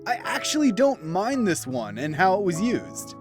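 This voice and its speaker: A man's voice: sits high, sing-song, moderate pace. A man narrating a scripted review as host in a theatrical, opinionated manner. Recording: background music behind voice. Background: instrumental music.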